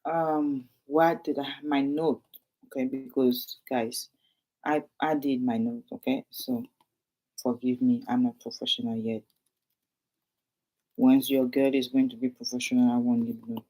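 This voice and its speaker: A woman's voice: very deep voice, steady tone, measured pace, warm tone, low-quality recording. A woman with a French accent, a realtor chatting casually to her viewers while reading from her notes and adding asides.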